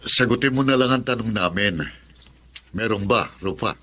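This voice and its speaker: A man's voice: lowish voice, normal speed, bright, forceful tone. An actor playing a stern, insistent middle-aged father in a Filipino radio drama, with a Filipino accent. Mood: stern, demanding. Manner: firm, insistent. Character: stern, hurt Filipino father demanding answers.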